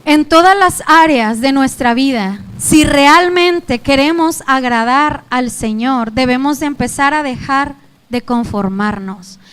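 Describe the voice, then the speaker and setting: A young Mexican woman preaches to her congregation in an earnest, pleading way. Her voice sits high, and her Mexican Spanish accent is clear. She keeps turning back to address her listeners directly. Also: slow, fairly bright tone. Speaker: youth pastor. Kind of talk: sermon.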